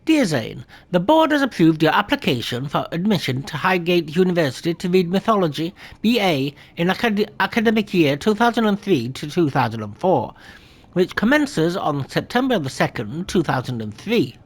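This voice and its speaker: Lively-voiced gamer reading game letters aloud. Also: mid-range voice, moderate pace. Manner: reads documents aloud plainly with small stumbles and self-corrections; chatty asides to the viewer between readings. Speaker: gameplay commentator.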